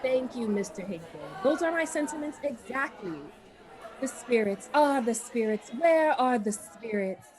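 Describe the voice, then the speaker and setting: A woman's voice: on the high side, lively intonation, unhurried, a little mellow, a faint crowd. A woman playing a gushing, self-important society hostess and would-be medium in a radio drama, speaking in a grand, theatrical manner. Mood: pompous, theatrical.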